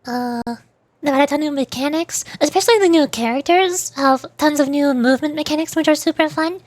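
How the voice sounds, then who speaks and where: A woman's voice: high-pitched, brisk, dry recording. A woman livestreamer chatting casually over a game in a rambling, playful way. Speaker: livestreamer, VTuber. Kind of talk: casual chat while gaming, answering live chat.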